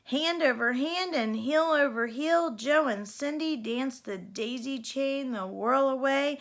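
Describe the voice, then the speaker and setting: High-voiced woman reading a storybook aloud. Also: slow. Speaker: storybook reader. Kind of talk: expressive read-aloud children's story narration.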